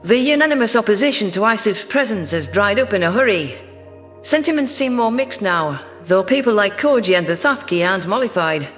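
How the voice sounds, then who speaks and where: Guy narrating a sci-fi story, steady and measured, higher voice, soft music behind. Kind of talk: scripted sci-fi audiobook narration.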